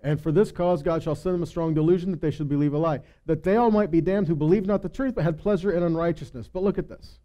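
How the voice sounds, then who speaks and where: Mellow-voiced, higher-pitched man preaching. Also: quick pace, hardly any pauses, dull audio. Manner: emphatic, rhetorical questions answered himself, dropping to quiet asides. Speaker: congregation teacher or preacher. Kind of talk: scripture-teaching sermon.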